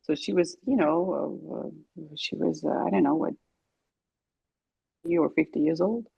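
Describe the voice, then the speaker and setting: Swedish-born woman guest, low husky voice, relaxed and chatty, laughs easily. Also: moderate pace, dry recording, muffled recording. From Sweden. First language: Greek and Finnish. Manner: relaxed, laughs often, many fillers and restarts. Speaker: guest singer-songwriter. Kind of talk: casual interview chat.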